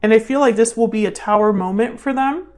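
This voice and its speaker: A woman's voice: on the high side, brisk, faint music behind. A woman hosting a tarot reading in a casual, intuitive style, thinking aloud and often repeating her questions and phrases. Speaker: tarot reader, channel host.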